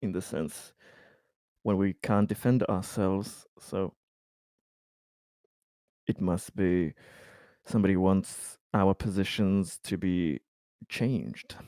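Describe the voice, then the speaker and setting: A man's voice: deep voice, takes it slowly, long pauses, dark tone, dry recording. A man chatting casually as a player in a tabletop game.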